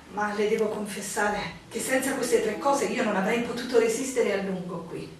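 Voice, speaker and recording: An actress playing a melancholy innkeeper's wife, no longer young, formal and emotional, in a stage-like radio drama. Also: female voice, a little high, natural voice. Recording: echoey room, distant mic, faint noise.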